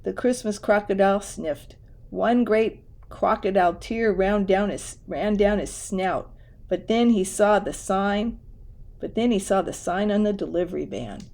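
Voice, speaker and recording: A woman reading a children's picture book aloud in an expressive way, with casual asides. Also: medium pitch, a little slow. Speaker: storybook reader.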